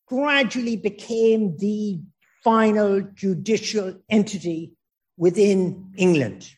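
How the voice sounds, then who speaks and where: A middle-aged man from Ireland giving an informal law lecture, slow and emphatic, with joking asides and digressions. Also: high-pitched.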